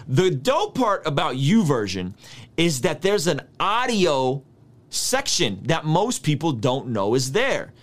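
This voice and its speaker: A man in his thirties, a native Russian speaker, giving an energetic, slangy Bible study lesson. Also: a little high, slow pace. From United States. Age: 30s. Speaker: Bible teacher. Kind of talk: casual video teaching.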